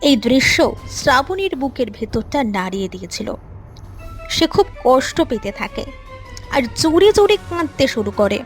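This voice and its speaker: A woman's voice: sits high, very animated, measured pace, faint music behind. A woman narrator reading a scripted storybook-style moral tale for an animation.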